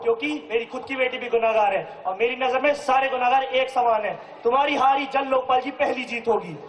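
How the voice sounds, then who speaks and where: A man's voice: very high voice, brisk. A man acting an indignant, righteous father and anti-corruption official in a stage play, declaiming with sarcasm. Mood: stern, triumphant. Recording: echoey live stage recording from hall.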